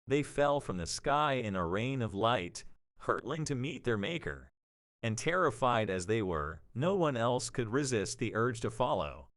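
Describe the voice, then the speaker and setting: A man narrating a scripted dramatic story in a measured, expressive storytelling manner. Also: mid-range voice, no room sound, studio quality.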